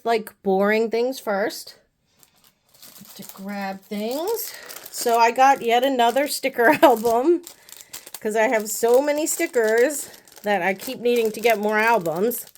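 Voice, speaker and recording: A young woman talks through a beauty haul in a loose, rambling way, full of "like" and "um". She is still sick with the flu, and her voice sits a little high. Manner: chatty, rambling, lots of fillers. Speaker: beauty vlogger. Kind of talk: casual haul chat.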